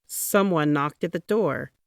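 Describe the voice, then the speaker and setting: A woman narrating a children's picture book aloud in a dramatic, deliberate, expressive way. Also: sits low, vocal fry, a little hiss or hum. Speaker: storybook reader.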